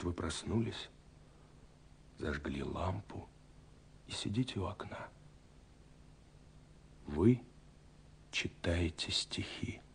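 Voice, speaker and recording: An actor playing a lovestruck young man who narrates his letters in a lyrical, wistful, intimate way, with pauses, in a romantic radio drama. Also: fairly low pitch, warm tone, slight background noise, heavily acted. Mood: tender, hushed. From Russia. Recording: 1970s radio recording with music.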